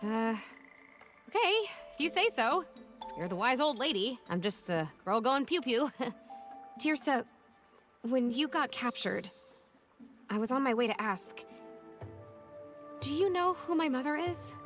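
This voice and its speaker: Plucky young heroine, high voice, lively intonation, wry casual delivery, game cutscene. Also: female voice, measured pace, long pauses, faint music behind. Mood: curious. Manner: wry, casual, hesitant asides. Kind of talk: animated video game cutscene acting.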